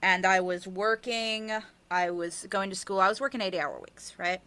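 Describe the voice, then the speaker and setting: A woman with a bright, forceful voice at a middling pitch speaks as a podcast guest. She is casual and blunt, hammering points home with short repeated phrases. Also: normal speed. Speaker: podcast producer and writer being interviewed. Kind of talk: casual interview chat, personal storytelling.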